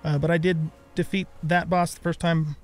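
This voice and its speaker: A man's voice: on the high side, little pitch movement, moderate pace, soft background music. A middle-aged man giving casual let's play commentary, chatting and thinking aloud as he goes. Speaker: gaming let's play host.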